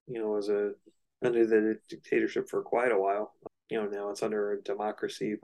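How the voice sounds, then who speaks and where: Male podcast co-host, flat intonation, lowish pitch, informal explainer in relaxed conversational phrasing. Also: fairly quick, creaky, low-quality recording. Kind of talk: casual podcast discussion.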